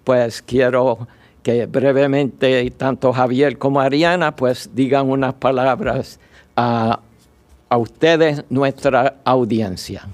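Slow Puerto Rican radio host. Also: male voice, medium pitch, fairly even intonation, darkish tone. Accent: Puerto Rican Spanish. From Puerto Rico. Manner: slow, halting, many fillers.

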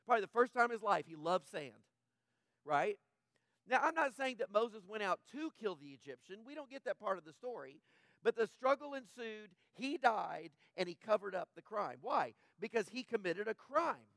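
A man's voice: high voice, very animated, moderate pace, gravelly, a bit bright, no room sound. A male pastor preaching a conversational sermon, acting out the characters' thoughts and asking the congregation questions.

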